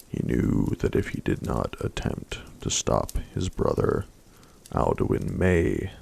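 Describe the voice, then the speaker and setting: A man reading a fantasy story aloud in a hushed, mostly whispered ASMR style. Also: rumbling low, gravelly. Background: faint campfire crackle.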